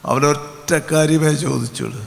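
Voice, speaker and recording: An older man giving a solemn, measured sermon and benediction at a stand mic. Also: a little high, fairly even intonation, creaky. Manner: solemn, measured, repeats phrases. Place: large open-air convention tent. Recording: long hall reverb from PA.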